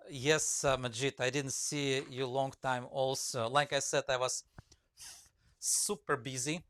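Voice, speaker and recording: A man's voice: mid-range voice, fairly bright tone, no echo. Russian accent guy rambling on a live stream, lots of uh and um, dry close mic. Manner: rambling, many fillers, reads comments aloud. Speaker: woodcarving teacher live-streaming. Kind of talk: casual live-stream chat with viewers.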